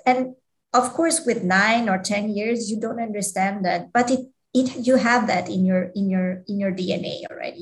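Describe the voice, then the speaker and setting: A woman's voice: mid-range voice, low-quality recording. A woman from Switzerland, an interview guest, speaking with frequent restarts and non-native grammar. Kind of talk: casual interview talk.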